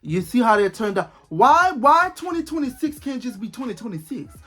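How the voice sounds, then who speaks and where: A young man of twenty talks straight to his viewers in a loose, rambling way. He repeats himself and breaks into exclaimed disbelief. Soft music plays faintly behind him. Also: very high voice, sing-song, moderate pace. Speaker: vlogger. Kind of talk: casual talk to camera.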